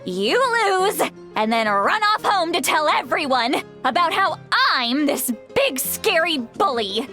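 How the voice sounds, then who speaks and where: A high, bright-voiced, tipsy shaman granny who sounds young and grumpy. She drawls slowly, boastful and touchy, in the manner of an anime game character. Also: very animated, soft background music, exaggerated voice. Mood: tipsy indignant.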